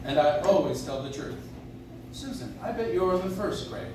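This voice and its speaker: A man's voice: high voice, animated, moderate pace. A man playing a kindly, dignified old man who claims to be Santa in a live stage radio play. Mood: genial, earnest. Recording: echoey noisy room, distant audience recording.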